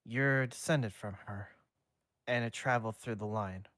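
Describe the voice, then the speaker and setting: A man's voice: fairly low pitch, measured pace, fairly bright tone, dry recording, clean studio sound. A man voicing a weary, earnest teenage boy who fights ghosts while reading a story aloud. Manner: reads dialogue in his own voice.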